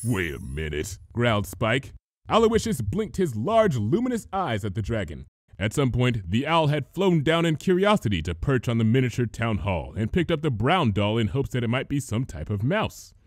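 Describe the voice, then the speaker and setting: A man narrating a comic fantasy story as an expressive reader. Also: mid-range voice, moderate pace, dry recording, studio quality. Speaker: fan fiction reader.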